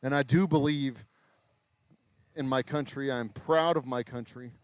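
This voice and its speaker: A man in his forties with an American accent, hosting a casual livestream from his small distillery while he works, his voice a little raspy after hours of talking. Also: mid-range voice, steady tone, measured pace, no echo. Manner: rambling, self-interrupting, mild swearing. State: voice getting raspy from long talking. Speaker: distillery owner and livestream host. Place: small craft distillery shop.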